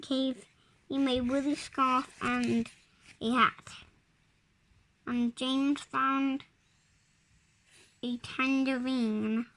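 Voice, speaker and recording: A five-year-old child with a high voice reads a storybook aloud. The reading is very slow and halting, taken a word or two at a time, as an early reader does. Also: fairly bright tone, poor audio.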